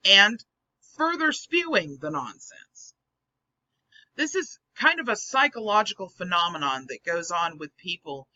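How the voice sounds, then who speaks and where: Scratchy hoarse channel host lecturing away, super bright tone, kinda muffled lo-fi sound. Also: mid-range voice. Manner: earnest, lecturing on psychology. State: hoarse, losing voice. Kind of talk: casual explanatory commentary. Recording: dull lo-fi recording.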